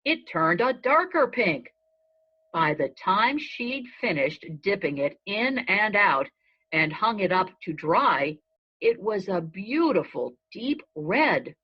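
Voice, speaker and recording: A woman reading a children's story aloud in measured, deliberate phrasing. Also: medium pitch, sing-song, husky. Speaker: storybook reader. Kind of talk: read-aloud narration of a children's story in a goat's first person. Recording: dull lo-fi recording.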